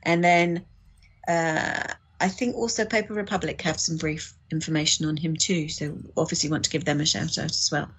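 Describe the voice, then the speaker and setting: A woman's voice: low-pitched, fairly even intonation, normal speed, a bit bright, breathy edge. A woman chatting as a podcast guest, explaining things informally and a little hesitantly. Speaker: podcast guest, audiobook producer. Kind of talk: casual interview chat.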